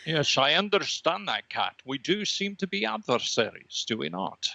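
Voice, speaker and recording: A man voicing a serene extra-dimensional light being with formal, solemn, halting speech on a comedy podcast. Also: on the high side, very animated, vocal fry, bright, forceful tone, no echo, muffled recording, heavily acted. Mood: coolly defiant. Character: lofty extra-dimensional red dot energy being, serene and cosmic. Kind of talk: improvised comedy podcast character.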